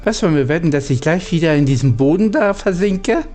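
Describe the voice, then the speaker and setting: A man's voice: on the high side, animated, quick pace, darkish tone, faint music behind. A man doing let's play commentary, reading out game dialogue and chatting as he plays. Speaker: gaming streamer playing and reading dialogue.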